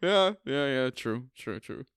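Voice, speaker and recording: A man's voice: medium pitch, lively intonation, measured pace, fairly bright tone. A young man from Trinidad co-hosting a podcast, telling stories in a laid-back, swear-heavy way with many fillers. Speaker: podcast co-host. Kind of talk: casual chat, storytelling.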